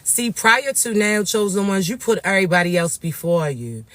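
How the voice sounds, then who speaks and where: A raspy-voiced man, talking slowly and tenderly to viewers as a spiritual reader. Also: high voice, lively intonation, no room sound. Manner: soft, drawn-out, affectionate address to viewers. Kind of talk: livestream reading for viewers.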